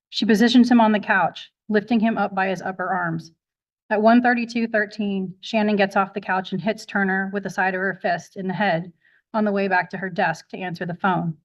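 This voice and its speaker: A woman's voice: medium pitch, fairly even intonation, a little fast. A woman speaking as a prosecutor, methodically reading a documented log aloud in formal, factual fashion, recorded with dull courtroom sound.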